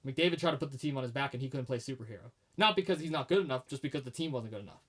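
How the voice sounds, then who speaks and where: Fast-talking opinionated man. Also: mid-range voice, expressive. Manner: opinionated, emphatic sports takes. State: losing his voice. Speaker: podcast co-host. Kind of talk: casual sports talk.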